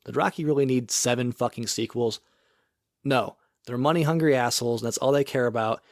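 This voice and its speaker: A man's voice: mid-range voice, brisk, no echo. A man co-hosting a casual podcast, ranting sarcastically and swearing, leaning on "like" as filler. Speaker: podcast co-host. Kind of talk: casual chat.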